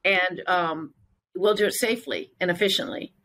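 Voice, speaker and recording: A woman's voice: fairly low pitch, normal speed, sharp tone. A woman speaking as a fitness trainer in a conversational interview, with an earnest, emphatic and encouraging manner. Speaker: fitness trainer and gym owner, interview guest.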